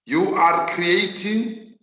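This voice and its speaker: A South African man whose mother tongue is Northern Sotho, teaching a virtual class in an emphatic, deliberate way and repeating phrases for effect. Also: sits high, steady tone, sharp tone. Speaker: accounting teacher. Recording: echoey room.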